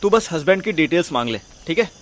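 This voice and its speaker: A man playing a cheeky, smooth-talking young sidekick in an audio drama, teasing and slangy with some English words. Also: on the high side, animated, fast talker, close to an everyday voice. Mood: sweetly persuading. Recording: music under the voice.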